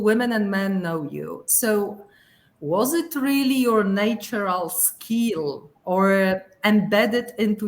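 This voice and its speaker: A woman moderates a webinar panel, summing up what her guests say before moving on. She speaks slowly and unhurriedly, at a middle pitch. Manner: warm, sums up guests' points, asks follow-up questions.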